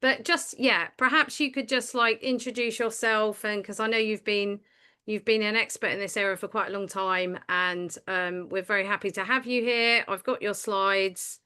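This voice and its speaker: A woman's voice: medium pitch, fairly even intonation, moderate pace, bright, forceful tone, clear, resonant tone. A woman hosting an online meeting, speaking in a friendly, hesitant way with many restarts. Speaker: meeting host.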